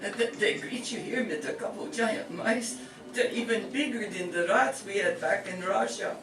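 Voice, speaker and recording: Bewildered, doting immigrant mother played by a man, high register, comic radio play, roomy noisy recording. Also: moderate pace, natural voice. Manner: kvetching, Yiddish-sprinkled talk. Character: fussy old-country immigrant mother, bewildered, grumbling, doting. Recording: roomy, distant stage mic, clear noise.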